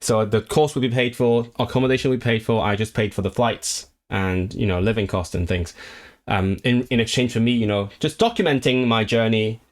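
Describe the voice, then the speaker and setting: A man's voice: lowish voice, fairly quick. A man streaming casually about learning languages, talking in a rambling way with many restarts and fillers, eager and enthusiastic about the subject. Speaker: language livestreamer. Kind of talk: casual livestream chat, reading viewer comments.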